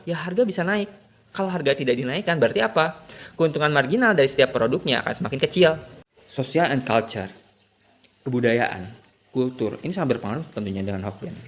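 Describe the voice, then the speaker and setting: A man giving an academic presentation. He explains in a lecture tone, answers his own rhetorical questions and lists points in order. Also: on the high side. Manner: asks himself rhetorical questions and answers them; lists points one after another.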